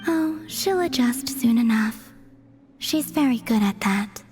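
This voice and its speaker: A woman voicing a calm, detached and mysterious young woman who speaks softly and formally. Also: a little high, slow pace, over music. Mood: dryly amused. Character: serene, mysterious young woman, a former Fatui agent. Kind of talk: game cutscene voice acting.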